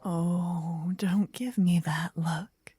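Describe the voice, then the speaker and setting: A woman playing a gentle, possessive, lovestruck vampire in a soft, intimate, breathy ASMR whisper, close to the ear. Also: lowish voice, steady tone, dry recording, exaggerated voice. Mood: teasing, possessive. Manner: intimate, slow, teasing. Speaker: ASMR roleplay performer. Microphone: close binaural ear-to-ear microphone.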